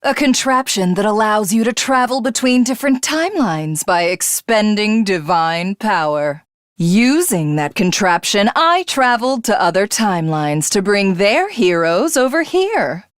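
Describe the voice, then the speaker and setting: A woman voicing an arrogant, sadistic alien warrior craving godhood in a video game, with theatrical, drawn-out taunts and a breathy, husky edge. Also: medium pitch, lively intonation, no echo, clean studio sound, lightly acted. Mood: gloating, taunting. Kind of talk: dramatic video game villain acting.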